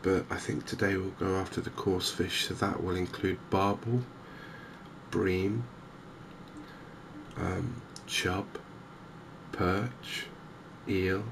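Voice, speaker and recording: Softly spoken man, low and creaky. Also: level tone, background noise. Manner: hesitant, unhurried, gentle. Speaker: host of a cozy gaming channel. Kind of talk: softly spoken ASMR game commentary.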